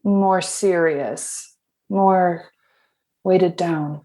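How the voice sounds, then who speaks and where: A woman's voice: fairly low pitch, fairly even intonation, extremely slow, mellow. A woman acting a teasing, guarded space officer with a dry manner in an audio drama.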